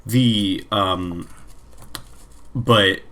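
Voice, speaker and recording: A man's voice: on the low side, extremely slow, sharp tone. A man co-hosting a podcast in casual gaming chat, speaking informally and giving emphatic opinions. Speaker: podcast co-host.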